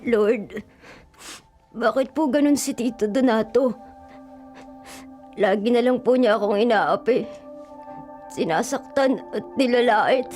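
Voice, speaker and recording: Meek little boy, slow and high. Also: a little mellow, soft background music. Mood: sad, pleading. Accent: Filipino. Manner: plaintive, meek. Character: timid, mistreated young orphan-like boy, meek and sad. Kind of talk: Filipino radio drama acting.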